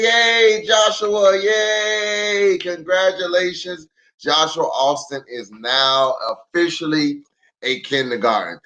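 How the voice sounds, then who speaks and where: A middle-aged man, a pastor, reading a children's storybook aloud with playful, chatty asides to the young listeners. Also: high voice. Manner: expressive, playful, addresses children directly.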